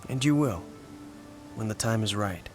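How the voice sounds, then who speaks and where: An actor playing a steady, reassuring hero in a video game cutscene, calm and supportive. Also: male voice, lowish voice, a little slow, soft background music. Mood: calm counsel.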